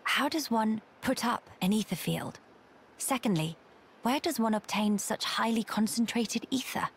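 A woman's voice: on the high side, lively intonation, a little hiss or hum. Formal, dignified young noblewoman. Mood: earnest. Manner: formal, measured diction. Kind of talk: video game voice acting.

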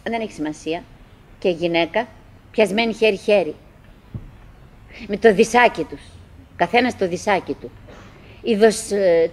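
An actress playing a middle-aged woman in a radio theatre monologue, wistful and doggedly cheerful, musing in halting, repetitive phrases. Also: mid-range voice, close to an everyday voice. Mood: hushed, pensive.

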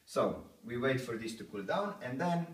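A man's voice: medium pitch, sing-song, moderate pace, distant mic, slight background noise. Brief: young man spoofing a TV chef, jokey and chatty; recorded off-mic in a roomy kitchen. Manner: joking, loose and chatty while cooking. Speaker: host of a homemade cooking show. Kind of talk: playful mock cooking-show talk. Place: small home kitchen, indoors. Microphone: camera a few feet away.

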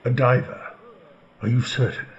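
A man playing a troubled, evasive gentleman husband in a radio drama. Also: mid-range voice, level tone, measured pace, muffled recording, lightly acted. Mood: alarmed, distracted. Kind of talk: old-time radio drama acting.

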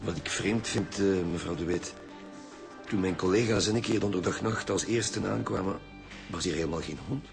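Radio play: a brusque Flemish chief inspector, very low voice, sceptical. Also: male voice, moderate pace, vocal fry, over music. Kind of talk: radio crime drama acting.

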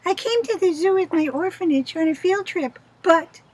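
Storybook orphan girl: very high, tiny, childlike, earnest, eager to please. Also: expressive, moderate pace, a little mellow. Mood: confiding.

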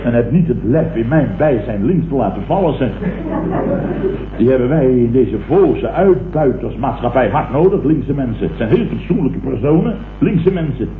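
Blustering, self-important Dutch boss, played by a male actor, very lively intonation, vintage radio comedy, tinny sound. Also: mid-range voice, normal speed, lightly acted. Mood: indignant, grandiose. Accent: Dutch, from the Netherlands. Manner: pompous, indignant, declamatory, with repeated sputtering interjections. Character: blustering, pompous middle-aged businessman, opportunistically turned leftist. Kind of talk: 1960s-70s radio comedy acting. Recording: tinny, echoey, hissy old radio recording.

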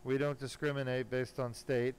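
A man's voice: medium pitch, level tone, normal speed. Male surgeon talking over operating room noise. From born in Germany, later lived in California. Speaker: spine surgeon operating and explaining. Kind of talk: live surgery commentary, casual explanation. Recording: clearly noisy operating room sound. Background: room noise and ambience.

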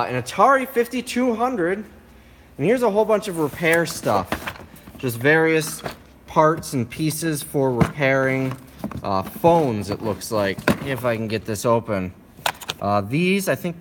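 A man filming on his phone indoors, casually listing items from a storage unit in a quick, offhand way. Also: mid-range voice, a little hiss or hum. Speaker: reseller showing a storage unit haul. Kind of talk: casual walkthrough narration. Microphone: handheld phone camera.

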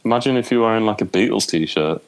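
A young man with an English accent and a very low voice, chatting casually as a podcast guest from his bedroom, rambling with lots of fillers. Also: quick pace. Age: young adult. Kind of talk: casual chat.

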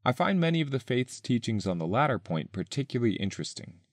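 A man reading a written essay aloud in a measured, carefully enunciated way. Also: medium pitch, very animated, breathy edge, dry recording, studio quality. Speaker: article author and reader.

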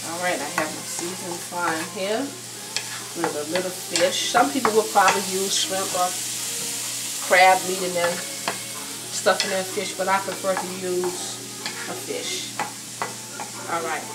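A woman's voice: on the low side, fairly even intonation, a little slow, pauses often, background noise. A middle-aged woman demonstrating a recipe in her home kitchen, chatting in a homey way as she cooks. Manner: chatty, homey, talks while stirring and moving about. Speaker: cooking show host. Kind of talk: casual cooking demonstration. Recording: home video with jazz and sizzling behind. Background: jazz playing, food sizzling in the pan.

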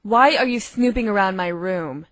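A woman's voice: mid-range voice, animated, normal speed, dry recording, clean studio sound, close to an everyday voice. A woman playing a stern, haughty cartoon woman with a curt, sarcastic, commanding manner. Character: stern, haughty adult woman confronting intruders.

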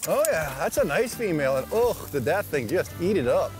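A man's voice: fairly high pitch, sing-song, a little fast, over music. A man hosting a fishing show, chatting upbeat and explaining his technique from a boat on a lake. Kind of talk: casual on-the-water commentary. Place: outdoors on a boat on a shallow lake.

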